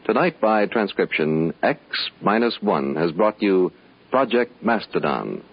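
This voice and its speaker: Deep, animated American radio announcer, male. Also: a little slow, husky. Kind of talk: 1950s radio announcing, scripted. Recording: dull vintage 1950s radio recording.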